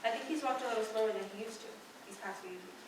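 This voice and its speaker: A woman's voice: fairly high pitch, rapid-fire, lightly acted. Distant echoey working-class woman, girl acting. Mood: gentle, subdued. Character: plain working-class women: charwoman, gentle mother. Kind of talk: student radio play acting. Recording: distant echoey room, clear background noise.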